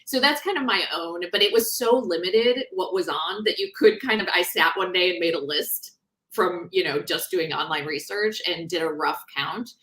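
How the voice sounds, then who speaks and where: A woman author talking in a conversational interview, explaining things in an animated, informal way. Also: lowish voice, moderate pace. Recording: roomy, echoing sound.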